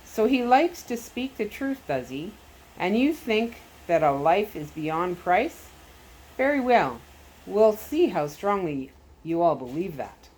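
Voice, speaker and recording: A woman's voice: lowish voice, big pitch swings, takes it slowly, darkish tone, husky, heavily acted, low-quality recording. A woman voicing a menacing, crafty male Soviet agent who talks down in a taunting, wicked manner. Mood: cold threat.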